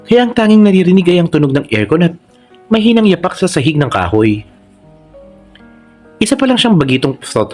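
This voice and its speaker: A man's voice: medium pitch, a little mellow. A man with a Filipino accent reading a scripted romantic story as narrator. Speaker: narrator and channel host.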